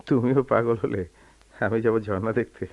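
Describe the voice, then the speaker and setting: An actor playing a proud, brooding, quick-tempered young gentleman in a theatrical radio play. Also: lowish voice, gravelly. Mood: curious. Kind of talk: Bengali radio play acting. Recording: dull, noisy old radio recording.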